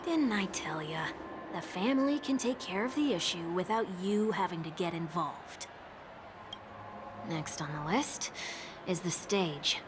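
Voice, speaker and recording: A woman playing a small, blunt, gruff game companion with a deadpan, exasperated way of talking. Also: on the low side, measured pace, background noise. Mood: dry. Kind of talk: voiced game dialogue.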